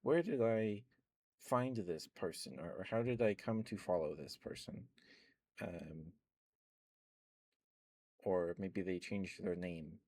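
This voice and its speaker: A young man, an ASMR streamer, rambling softly in casual chat with frequent chuckles. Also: deep voice, slow, long pauses, no echo. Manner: soft-spoken, rambling, chuckles often.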